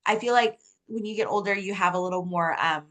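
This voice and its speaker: A woman's voice: medium pitch, brisk. A woman, chatting casually as an author guest in an interview and telling things with animation, often saying "like". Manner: animated storytelling, heavy use of "like".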